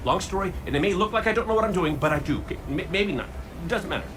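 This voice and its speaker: An actor playing a cocky, wisecracking robot gunslinger in a video game cutscene, talking in a flippant, theatrical ramble. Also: male voice, high-pitched, lively intonation, rapid-fire, noisy background. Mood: exasperated.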